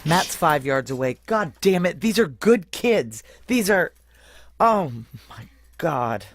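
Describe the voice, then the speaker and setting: A woman's voice: fairly low pitch, sing-song, slow, no echo, exaggerated voice. An actress playing a tough, profane female security chief, narrating and acting in a noir audio drama in a wry, blunt, conversational way. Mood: anxious, racing thoughts.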